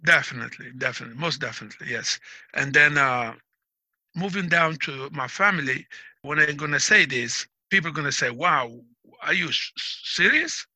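A man's voice: fairly high pitch, moderate pace, sharp tone, no room sound. A middle-aged man from Algeria, talking in an interview. He explains things like a teacher, proud and emphatic. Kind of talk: casual interview talk.

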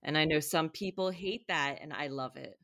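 A woman co-hosting a podcast, with a deep, low voice for a woman. She tells stories with energy and acts out other people's lines. Her tone is relaxed and chatty. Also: normal speed. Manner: storytelling, acts out quotes. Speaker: podcast co-host and author. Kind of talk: casual podcast chat.

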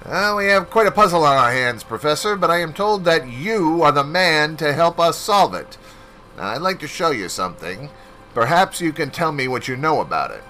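A man's voice: a little high, very animated, moderate pace, sharp tone, soft background music, pitched above the performer's own voice. A man voicing an earnest male police captain who asks careful questions with small stammers.

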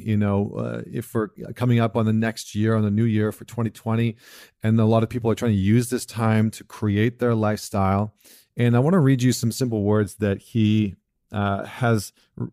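A man's voice: lowish voice, vocal fry, mellow, dry recording, studio quality. A man hosting a solo talk show, speaking in a reflective, earnest way with fillers and restarts. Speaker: podcast host, men's coach. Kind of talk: casual solo talk with a read passage.

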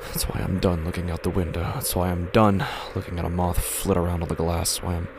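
An actor playing a weary, troubled man in an audio drama monologue, brooding and halting, often hushed. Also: low voice, flat delivery, noisy background, natural voice. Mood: weary, resigned. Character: weary, troubled man brooding over his warped perception.

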